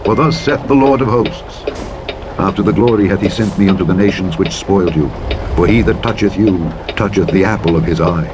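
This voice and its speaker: Low-voiced man solemnly reading scripture over engine noise. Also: fairly quick. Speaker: scripture narrator. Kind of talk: solemn Bible reading, King James English. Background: truck driving sounds.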